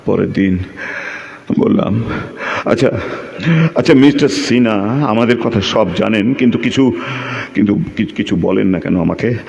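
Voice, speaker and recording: A man acting a tormented, lovelorn character in an audio drama, agitated and halting as he repeats his words. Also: lowish voice, vocal fry, a little mellow. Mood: anguished, pleading.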